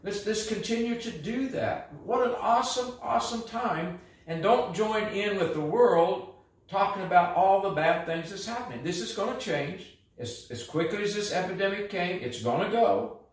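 Upbeat older pastor in an echoey room. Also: male voice, normal speed. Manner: upbeat, earnest, preacherly with lively swings in pitch and pace. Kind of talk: encouraging video message to his church. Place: living room in front of a fireplace, indoors. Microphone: camera a few feet away, no visible mic. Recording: echoey room, voice a bit far from the mic.

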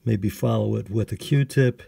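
A man casually narrating a hands-on repair as a hobbyist, hesitant and pausing often, recorded on a handheld phone. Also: fairly low pitch, gravelly, mellow, breathy edge, dry recording, clean studio sound. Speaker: hobbyist radio restorer.

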